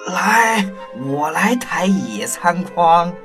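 A woman's voice: fairly low pitch, expressive, extremely slow, music in the background. A woman playing a caring royal parent in a children's audio story, speaking in an instructive, attentive way. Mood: caring, concerned.

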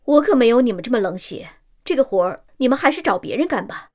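Sci-fi radio drama, actress as indignant, defiant female technician: higher-set, expressive, sardonic and sharp-tongued. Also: quick pace, close to an everyday voice. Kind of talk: Chinese science-fiction radio drama acting.

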